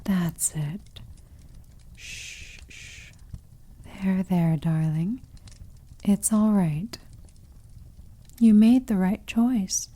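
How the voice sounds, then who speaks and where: A woman playing a possessive, sadistic female vampire in an ASMR roleplay, seductive and commanding, purring condescending endearments and slipping into breathy whispers. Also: medium pitch, extremely slow, pauses often, mellow. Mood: possessive soothing.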